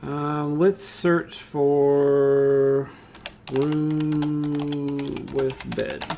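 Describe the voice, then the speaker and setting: A man narrating a step-by-step software tutorial in an informal way, thinking aloud as he demonstrates. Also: on the high side, little pitch movement, very slow, vocal fry, slight background noise.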